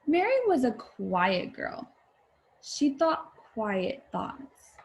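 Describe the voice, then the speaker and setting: A woman teacher reading a picture book aloud to young viewers, with deliberate, expressive phrasing. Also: on the high side, slight background noise, rough recording. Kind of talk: children's read-aloud, addressing young viewers.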